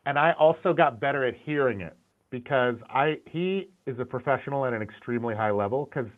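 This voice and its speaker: A middle-aged man in a casual interview speaks candidly and with energy, often restarting mid-sentence. His voice sits at an ordinary pitch. The sound is thin and tinny, like a video call over a phone line. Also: fairly quick. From Syrian, grew up in the US. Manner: candid, animated, frequent restarts and repeated words. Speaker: guest, concept art and animation director.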